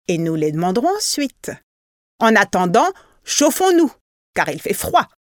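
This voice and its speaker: A woman's voice: medium pitch, sing-song, unhurried, no room sound, clean studio sound. A woman doing a cautious peasant husband. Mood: reasoning. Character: poor peasant husband, cautious and hot-tempered.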